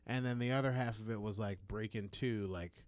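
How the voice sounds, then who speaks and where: A man's voice: fairly low pitch, a little fast, a little mellow. A man, chatting casually as a radio guest and telling stories full of "like". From Brooklyn, New York. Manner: chatty storyteller, lots of "like". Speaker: guest musician.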